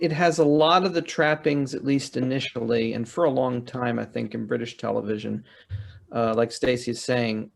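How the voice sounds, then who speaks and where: A man's voice: medium pitch, darkish tone. A man from the United States talking reflectively and articulately in a panel discussion. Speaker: panelist, publisher and writer.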